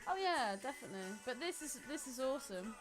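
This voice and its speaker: A woman's voice: high-pitched, big pitch swings, fast talker. A British woman co-hosting a podcast, chatting casually and expressively about films in an informal, sweary way. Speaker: podcast co-host. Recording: music playing behind the voice. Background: music.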